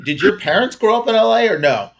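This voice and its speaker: A man hosts a casual podcast interview with a fairly high-pitched voice. He asks questions eagerly and throws in playful hype-man banter. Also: very animated, fast talker, gravelly, fairly bright tone. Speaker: podcast host.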